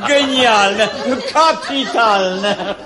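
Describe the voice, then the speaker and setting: An actor playing a jovial, effusive professor in a radio drama, exclamatory and a little pompous. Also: male voice, high voice, extremely slow, very noisy. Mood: delighted. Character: jovial, effusive male professor savouring gossip.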